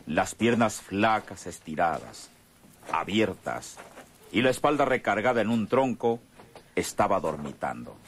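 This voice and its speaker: Un hombre que narra una radionovela con guion, en estilo dramático. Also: male voice, fairly low pitch, a little hiss or hum. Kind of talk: scripted dramatic narration.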